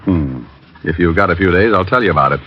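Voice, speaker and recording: A sardonic private detective who narrates his own case in an old radio drama. His voice is low, his jokes dry and sarcastic. The recording is dull and old. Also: animated, fast talker, a little hiss or hum. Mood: wry. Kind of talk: 1950s radio drama acting.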